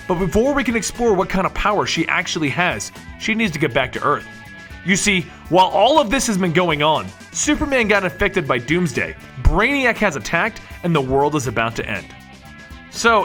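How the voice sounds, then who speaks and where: A man's voice: high-pitched, bright, forceful tone. A man narrating a comic book story with dramatic, emphatic delivery and rhetorical questions. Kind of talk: animated scripted storytelling narration.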